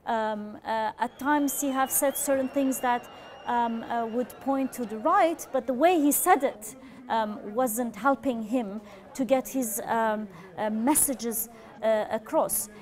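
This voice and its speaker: Hesitant higher-voiced woman panelist. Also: slow. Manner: hesitant, many ums and fillers. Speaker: opinion editor, panel guest. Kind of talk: live studio panel analysis.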